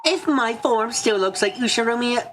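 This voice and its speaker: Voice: mid-range voice, moderate pace, a bit bright, slight background noise, pitched above the performer's own voice, close to an everyday voice. A streamer voicing a hateful, taunting witch for an amateur fan dub of a visual novel. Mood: sly, taunting. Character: gloating, hateful witch in a dead aunt's form.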